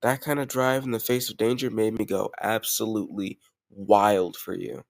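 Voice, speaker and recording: A man's voice: lowish voice, little pitch movement, moderate pace, creaky, dry recording. Doting mafia boss boyfriend, acted by a man, close and dry. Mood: fond, impressed. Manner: affectionate, self-assured, addressing a lover directly. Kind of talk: intimate roleplay audio, scripted romantic monologue.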